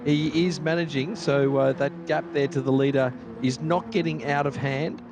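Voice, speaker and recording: A man's voice: on the high side, normal speed. A man with a South African accent, co-commentating a sim race live, chatty and analytical, often restarting his sentences. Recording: steady background noise.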